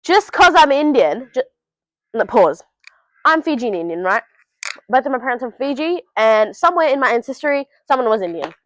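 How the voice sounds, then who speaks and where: A woman's voice: high-pitched, big pitch swings, normal speed, dry recording, rough recording. Blunt teen girl, dry sound. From Australia. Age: teens. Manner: blunt, swears freely, talks straight to the viewer. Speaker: vlogger. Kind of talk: casual advice monologue to camera. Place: at home.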